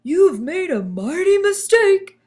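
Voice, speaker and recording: A woman voicing an excitable, earnest male lion in a children's story, with emphatic exclamations. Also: very high-pitched, slow pace, voice pushed up, heavily acted. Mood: indignant.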